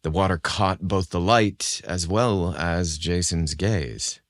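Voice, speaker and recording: A man's voice: low-pitched, takes it slowly, no echo, clean studio sound. A man reading a scripted romance story as an audio fiction narrator.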